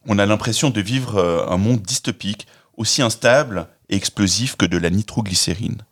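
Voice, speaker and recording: A man hosting a podcast, reading a scripted opening and then asking measured, articulate interview questions. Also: lowish voice, breathy edge. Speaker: podcast host. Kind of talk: interviewing, partly scripted intro.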